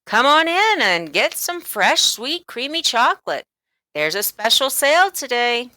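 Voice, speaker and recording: Woman putting on a hearty, loud, swooping candy storekeeper. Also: high voice, big pitch swings, a little slow, dry recording, voice pushed up, heavily acted. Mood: hearty, inviting. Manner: emphatic, theatrical salesman's delivery. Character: hearty, coaxing, sly male candy storekeeper. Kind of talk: children's storybook read-aloud.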